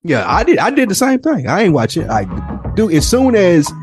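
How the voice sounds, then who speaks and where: A man's voice: high-pitched, wildly swooping pitch, moderate pace, faint music behind. A man co-hosting a podcast in casual, opinionated chat, slangy and given to swearing. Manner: informal and slangy with frequent swearing. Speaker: podcast co-host.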